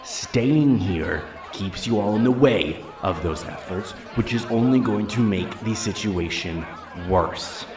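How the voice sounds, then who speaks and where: A man portrays a dutiful officer in an audio drama, low-pitched and formally authoritative. The voice echoes, with a clear crowd murmuring around it. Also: lively intonation, slow pace, hardly any pauses. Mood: firm, official. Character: male official escort, firm, orderly, dutiful. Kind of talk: dramatic audio roleplay acting. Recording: echoey outdoor scene with crowd noise.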